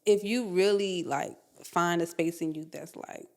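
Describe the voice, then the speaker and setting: A woman in her thirties, a podcast guest talking in a candid, animated way, full of "like"s and acted-out quotes. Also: on the low side, unhurried. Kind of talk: casual chat.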